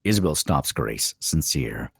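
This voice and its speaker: A man's voice: extremely low pitch, sing-song, a little slow, vocal fry, slightly rough, no room sound, clean studio sound. A man reading stage directions as the narrator of a screenplay table read.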